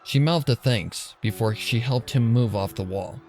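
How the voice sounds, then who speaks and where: A man's voice: mid-range voice, moderate pace, a little mellow, soft background music. A man reading scripted dramatic story narration for a fan fiction.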